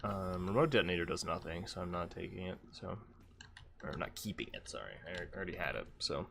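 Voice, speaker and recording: A man with a deep, stuffy, congested voice talks through his game run in a loose, rambling way and pokes fun at himself. His pitch swings widely as he thinks aloud, with faint keyboard clicks behind him. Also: lively intonation, fast, gravelly, a bit bright, slight background noise. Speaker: game streamer. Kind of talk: casual gameplay commentary.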